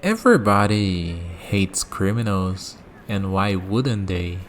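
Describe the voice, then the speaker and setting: Calm, cynical villain male actor for ASMR roleplay: lowish pitch, very unhurried, deliberate delivery with weighty pauses. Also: slight background noise. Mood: cold, contemptuous. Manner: deliberate, measured, with dramatic pauses.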